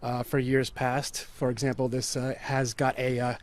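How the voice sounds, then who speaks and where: Dry-witted man, measured interview talk. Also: mid-range voice, level tone. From United States. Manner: dry, wry asides, measured. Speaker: guest, writer and performer. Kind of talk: casual interview chat.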